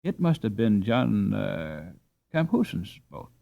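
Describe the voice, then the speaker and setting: A man's voice: on the low side, huge pitch swings, takes it slowly, vocal fry, no echo, muffled recording, full character voice. A man voicing an anxious, plain-spoken Dutch housewife in an audiobook, fussing and soothing in folksy, old-fashioned speech. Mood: dry. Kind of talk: audiobook character dialogue.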